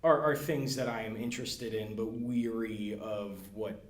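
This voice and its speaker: A man who is a podcast guest, talking casually and hesitantly, with many pauses and ums. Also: fairly low pitch, fairly even intonation. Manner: hesitant, lots of ums and hedging. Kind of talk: casual chat. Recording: echoey room.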